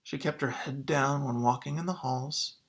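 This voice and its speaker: A man's voice: mid-range voice, fairly even intonation, normal speed, a bit bright. A man speaking as a teacher to his students, in an informal read-aloud lesson style.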